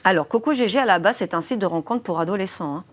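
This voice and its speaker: A young woman journalist in a studio interview walks through a grim case step by step. She speaks earnestly and weighs her words with care, with plenty of small fillers along the way. Her voice sits at an ordinary pitch for a woman. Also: fast talker. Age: 20s. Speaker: journalist guest. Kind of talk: interview explaining a criminal case.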